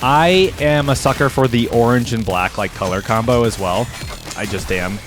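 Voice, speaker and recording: A man's voice: mid-range voice, moderate pace, faint music behind. A man giving casual, enthusiastic reaction commentary as a video creator, chatty and informal.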